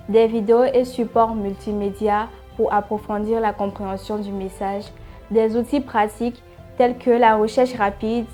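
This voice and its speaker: Mellow, flat-voiced woman narrator. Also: a little high. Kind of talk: scripted narration.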